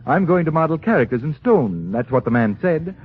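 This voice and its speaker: Gentle elderly street vendor, fairly high voice, polite, earnest, old radio acting, tinny hissy recording. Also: male voice, sing-song, fairly quick. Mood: quietly proud. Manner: mild, polite, well-spoken, earnest.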